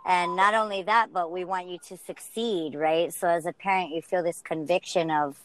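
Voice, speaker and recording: A woman host asks long, thoughtful questions, feeling her way through them. Her voice sits low for a woman. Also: fairly even intonation, moderate pace, poor audio. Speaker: podcast host. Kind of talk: interview questions.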